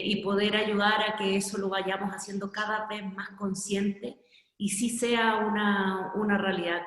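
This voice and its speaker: A woman's voice: mid-range voice, fairly bright tone. A woman from Chile in her forties talks as a panelist about her HR career. She is earnest and reflective and often restarts her phrases. Her voice sits in a roomy space with a long reverb tail. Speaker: panelist, HR director. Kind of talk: online panel discussion. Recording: echoey room with long reverb.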